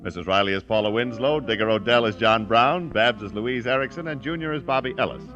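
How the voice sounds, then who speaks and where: A man's voice: medium pitch, fairly quick, lo-fi sound. A man working as a radio announcer, reading scripted sponsor copy and credits in 1950s broadcast style. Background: music.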